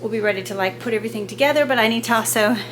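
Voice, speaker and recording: A woman's voice: fairly high pitch, racing, over loud music. A woman vlogger chatting casually about homemaking in a chatty, rambling way. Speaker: lifestyle vlogger. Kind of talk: casual homemaking vlog chat.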